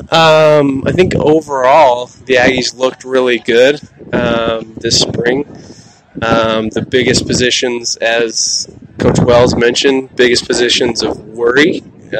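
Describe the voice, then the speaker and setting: A man's voice: medium pitch, background noise. A man hosting a casual solo sports podcast, breaking down a team's players with frequent ums and restarts. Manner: halting, lots of ums and restarts. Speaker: podcast host.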